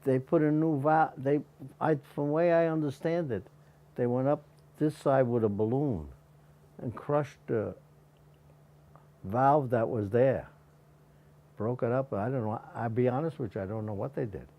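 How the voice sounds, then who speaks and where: A man in his nineties telling his own story in a halting, plain way for an interview. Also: mid-range voice, dull audio. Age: 90s. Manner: plain, halting, with restarts and small laughs. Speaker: interviewee, patient.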